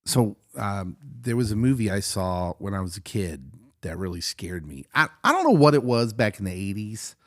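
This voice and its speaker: An American man in his forties hosting a podcast, chatting casually, laughing often and getting playfully excited. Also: lowish voice. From United States. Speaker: podcast host.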